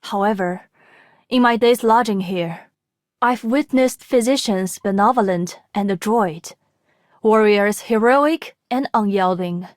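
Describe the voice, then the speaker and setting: Game role: dignified female investigator, actress, formal and reserved, mid-pitched, dry studio sound. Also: measured pace, no room sound, lightly acted. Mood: reserved, respectful. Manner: formal, archaic wording. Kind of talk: video game character voice lines.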